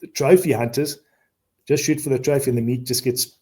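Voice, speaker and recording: Mellow-voiced South African man in his fifties. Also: mid-range voice, fairly even intonation, brisk. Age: 50s. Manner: earnest, repeats words while explaining. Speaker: safari outfitter guest. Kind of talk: casual podcast conversation.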